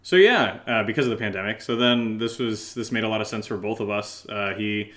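American male co-host, lowish pitch, casual unscripted chat, quick to laugh. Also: fairly bright tone. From Connecticut, United States. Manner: laughs easily, loose and joking. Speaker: podcast co-host and audio drama maker.

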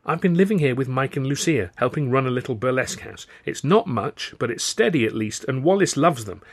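A man voicing a sharp, teasing young mother in an audiobook, easily exasperated. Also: mid-range voice, expressive, a little fast, pitched above the performer's own voice. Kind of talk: audiobook character dialogue.

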